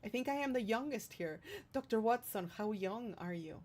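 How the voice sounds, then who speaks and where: A woman's voice: a little high, animated, moderate pace, a little mellow. A woman hosting a livestream, reading out viewer comments and chatting casually in a playful, affectionate way. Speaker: streamer hosting a livestream, singer-songwriter.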